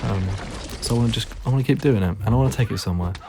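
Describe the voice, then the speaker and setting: A man with a hoarse, fading voice, giving tired, laid-back gameplay commentary full of ums. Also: mid-range voice, very fast, music in the background. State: hoarse, losing his voice; tired, yawning late at night. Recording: noisy recording with clicks.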